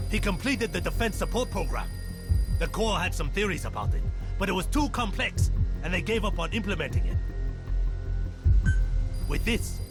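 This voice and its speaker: A man playing a plain-spoken, good-natured military engineer in a video game, with a folksy, informal manner. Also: high-pitched. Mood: impressed.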